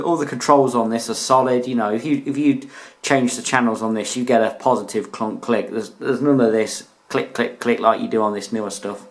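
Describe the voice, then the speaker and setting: A British man giving a casual, chatty video review as a hobbyist, using informal slang. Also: medium pitch. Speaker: radio hobbyist reviewing his collection.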